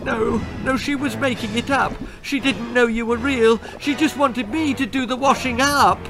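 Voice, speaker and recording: A man's voice: extremely high pitch, fairly even intonation, normal speed, bright, forceful tone, soft background music, voice pushed up, rough recording. A man voicing a frightened young girl in a children's horror story, pleading in a tearful, bleating way.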